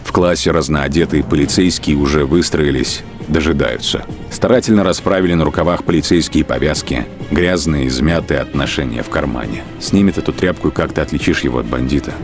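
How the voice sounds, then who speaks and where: Male narrator, extremely deep voice, grave measured literary delivery, faint music underneath, radio drama.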